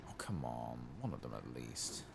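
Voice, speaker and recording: A man giving soft-spoken, partly whispered ASMR commentary while he plays a game, casually muttering to himself. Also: very deep voice, big pitch swings, normal speed, gravelly, heavy background noise. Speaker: gaming streamer.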